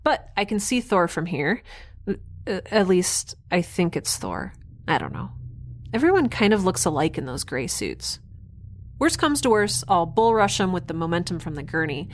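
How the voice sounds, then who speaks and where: A woman's voice: on the low side, big pitch swings, normal speed. A woman in an audio drama playing a plucky, awkward young adventurer, talking casually with dry humour. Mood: breezy, nervous. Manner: casual, rambling, dryly humorous. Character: plucky, wry, awkward young woman scientist-adventurer narrating her log. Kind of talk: naturalistic audio drama acting.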